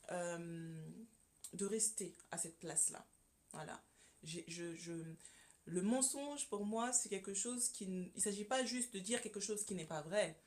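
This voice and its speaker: A woman's voice: mid-range voice, a little mellow. A woman from Congo, a communication consultant, speaking as a guest on a radio interview, earnest and full of hesitations and repeated words. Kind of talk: radio interview, personal testimony. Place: radio studio.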